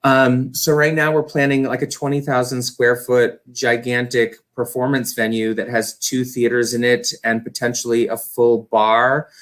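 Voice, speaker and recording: A man with a mid-pitched voice speaks as a guest in a casual interview. He is enthusiastic and informal, rambling from one thought into the next. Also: little pitch movement, moderate pace, hardly any pauses, fairly bright tone. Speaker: interview guest, community theater director.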